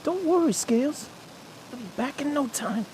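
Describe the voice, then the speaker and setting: Woman doing a high-pitched, wisecracking robot rat. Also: expressive, moderate pace, darkish tone, slight background noise, rough recording. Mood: cocky, needling. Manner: slangy, mocking, teasing. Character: wisecracking male robot rat, scrappy and cocky. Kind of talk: fan radio drama acting.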